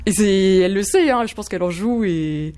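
A woman chatting casually in an interview, amused and halting, with frequent restarts. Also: mid-range voice, mellow. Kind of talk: casual testimony.

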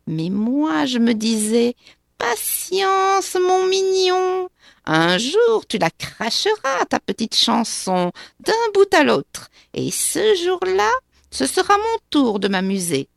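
A woman voicing a spiteful old witch with a small, sharp, sour voice, sneering and drawing out her words in a storybook reading. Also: high-pitched, sing-song, creaky, dry recording, clean studio sound, pitched above the performer's own voice, full character voice. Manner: sneering, mocking, drawn-out words.

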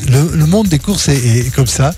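A man's voice: mid-range voice, animated, moderate pace. A man hosting live at a racecourse, commenting in a jovial, informal, bantering way. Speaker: racecourse announcer and host. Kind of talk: live event commentary, casual chat. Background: music.

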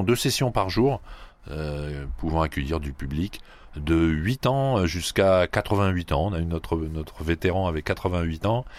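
A man from the Paris region, a club head being interviewed on radio, who pauses often with fillers and restarts. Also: low voice. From Paris region, France. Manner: hesitant, many fillers and restarts. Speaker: guest, sand yachting club head.

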